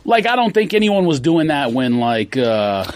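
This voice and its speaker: A man's voice: fairly high pitch, sing-song, normal speed. A man in his forties, a podcast host, chatting casually and rambling through memories, with many restarts and fillers. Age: 40s. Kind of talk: casual chat.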